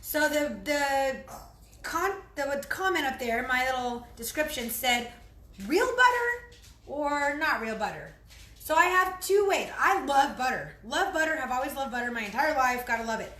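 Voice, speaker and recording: A woman fitness trainer chatting casually through a home cooking vlog, hoarse from losing her voice, rambling and clearing her throat. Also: high voice, expressive, normal speed, bright, forceful tone, roomy sound. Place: home kitchen.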